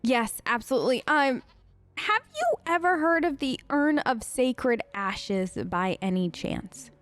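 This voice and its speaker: A woman's voice: high voice, sing-song, slow pace, a bit bright, no room sound. A woman improvising in character for a video game let's play, chatty and hesitant, with an awkwardly polite manner.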